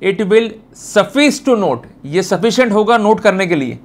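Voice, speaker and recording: A man with an Indian accent lecturing on law, mixing Hindi and English legal terms, emphatic and direct with viewers, reading quotations slowly and deliberately and explaining informally. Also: high-pitched, lively intonation. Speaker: lawyer giving online law lectures.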